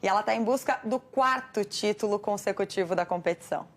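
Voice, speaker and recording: A woman's voice: mid-range voice, brisk. A woman presenting sports news in a studio, talking conversationally with her co-anchor. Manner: conversational, hands over to co-anchor.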